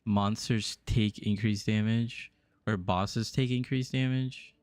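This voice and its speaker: A man's voice: on the low side, fairly even intonation, slow, darkish tone, no echo. A young adult man from the US East Coast, musing aloud and answering chat during a casual game stream. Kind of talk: casual live-stream chat while playing.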